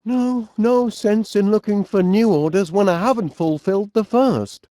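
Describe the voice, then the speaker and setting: An actor playing a fussy, absent-minded middle-aged inventor with a Northern English accent, politely musing aloud in an animated comedy game. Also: male voice, high voice, unhurried, no echo.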